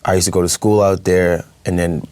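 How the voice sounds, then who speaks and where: Young New man, low voice, dry close sound, hesitant and chatty guest. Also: little pitch movement, a little fast, a little mellow, no room sound. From Brooklyn, New York. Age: young adult. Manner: hesitant, many fillers, laughs easily. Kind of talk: casual interview chat.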